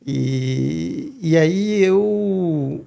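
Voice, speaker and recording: A middle-aged man, a guest on a podcast, talking casually and haltingly with many restarts and repeated words. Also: fairly high pitch, lively intonation, creaky, warm tone. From Madagascar. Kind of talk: casual interview talk.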